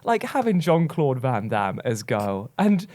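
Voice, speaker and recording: A man's voice: mid-range voice, very animated, unhurried, a little mellow. A man hosting a casual film podcast chat in a theatrical, jokey, exclaiming manner. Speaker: podcast host. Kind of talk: casual group podcast chat about films.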